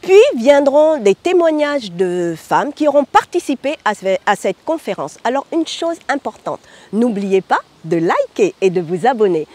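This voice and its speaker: Lively Martinican woman hosting a show. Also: fairly high pitch, normal speed. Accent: Martinican French. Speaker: host. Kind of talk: show introduction.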